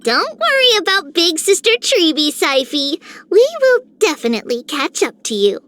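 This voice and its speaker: Game role: childlike heroine, very high and animated, sweet, affectionate. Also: female voice, normal speed, heavily acted. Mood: fond, reassuring. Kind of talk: video game character voice lines.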